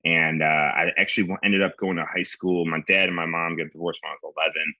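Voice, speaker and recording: Deep-voiced man rambling in casual chat. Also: level tone, quick pace, sharp tone, dry recording. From Chicago area, USA. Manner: rambling, digressive storytelling with lots of "like". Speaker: guest comedian.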